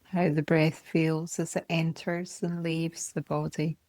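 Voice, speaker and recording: A woman meditation teacher leading a guided body scan in a calm, gentle, measured way, speaking in short phrases. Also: low voice, dry recording.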